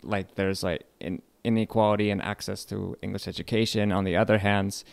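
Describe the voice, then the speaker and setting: A man's voice: fairly low pitch, steady tone, brisk, creaky, no echo. A young man from California, a literature lecturer, talking casually in a radio interview, hesitant, with many fillers and restarts. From California, United States.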